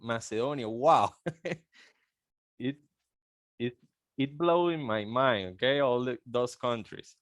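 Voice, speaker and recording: A Venezuelan man in his thirties, Spanish-native, talks slowly through a call presentation in a clear, smooth voice. The sound is dry and close. He halts, repeats words and keeps tagging "okay?". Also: mid-range voice, dry recording. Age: 30s. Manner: halting, repeats words, frequent "okay?" tags. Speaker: guest speaker on an online call.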